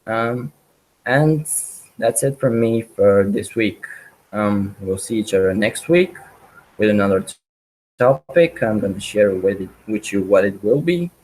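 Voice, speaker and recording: Slow, hesitant man teaching code. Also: lowish voice, animated, darkish tone, low-quality recording. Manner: hesitant, many ums and restarts. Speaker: programming webinar instructor. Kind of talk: live coding walkthrough.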